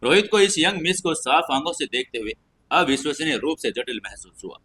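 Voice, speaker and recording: A man's voice: on the high side, big pitch swings, brisk, bright, forceful tone, slightly rough. A man narrating a scripted audio story in a dramatic storytelling style.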